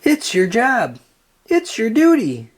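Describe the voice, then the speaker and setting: A storybook narrator voicing an earnest, excitable little boy who speaks with urgent insistence. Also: medium pitch, slow pace, voice pushed up, full character voice. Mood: insistent.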